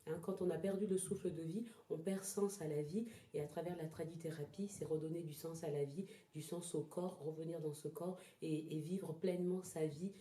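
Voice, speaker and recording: A woman speaks in a very soft-toned, dark and relaxed voice that sits slightly low. She answers an interviewer reflectively, often pausing and repeating words as she looks for the right phrase. The recording is roomy, with audible echo. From born in Togo, lived in Ghana, moved to France as a child. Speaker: interview guest, traditional therapy practitioner. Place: indoors in a house. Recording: roomy, echoing indoor sound.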